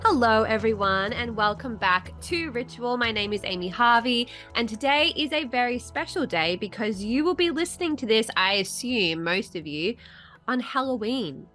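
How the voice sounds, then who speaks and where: A woman's voice: mid-range voice, sharp tone, no room sound. A woman with an Australian accent hosting a podcast, chatting casually, swearing now and then. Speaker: podcast host. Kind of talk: casual chat interview.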